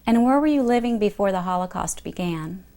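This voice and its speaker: A woman conducting an oral history interview, asking questions in a calm, formal way. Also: mid-range voice, fairly quick. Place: museum interview room.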